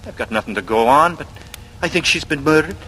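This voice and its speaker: A sly young man in a 1940s radio thriller, vain and ingratiating. His voice is bright and very lively in pitch, needling as it charms, on a faintly noisy old recording. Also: brisk. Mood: sly insinuation. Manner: ingratiating, needling, insinuating, colloquial.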